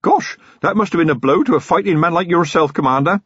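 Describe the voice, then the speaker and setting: A man voicing an eager, chattering young male constable, keen and bright. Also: fairly high pitch, quick pace, slightly rough, dry recording, dull audio, voice pushed up.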